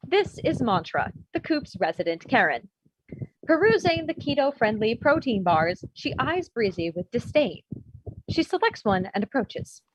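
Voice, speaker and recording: A woman's voice: fairly high pitch, big pitch swings, measured pace, slight background noise. A woman giving scripted narration, reading stage directions for a comedy table read. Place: remote virtual table read. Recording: muffled video-call audio.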